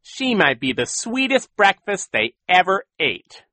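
A man's voice: high voice, big pitch swings, measured pace, pushed and bright, no echo, pitched above the performer's own voice, heavily acted. A man voicing a boastful, cruel villainous con man in an audiobook, with a growling, mocking, exaggerated delivery. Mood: gloating, mocking. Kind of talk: audiobook character voice.

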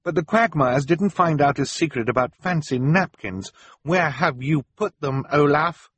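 A man's voice: on the high side, a little slow, fairly bright tone, slightly rough, no room sound, pitched above the performer's own voice. A man voicing a bold, clever girl with a direct, accusing manner.

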